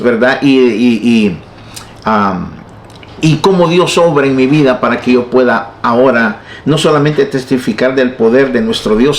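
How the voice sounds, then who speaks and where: A man's voice: medium pitch, a little hiss or hum. A Salvadoran-accented man in his sixties, a pastor telling his life story in an interview. He jokes at his own expense and laughs along. His voice rings with the echo of the room. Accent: Salvadoran Spanish. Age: 60s. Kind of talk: personal testimony in an interview.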